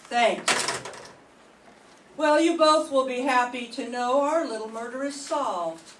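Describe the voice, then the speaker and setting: An older woman reading the part of a calm, shrewd police inspector in an old-time radio mystery, with deliberate, measured questioning. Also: sits high. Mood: stern, probing. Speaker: student in a radio drama class. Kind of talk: 1940s radio mystery acting. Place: classroom. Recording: echoey room, distant mic, faint hiss.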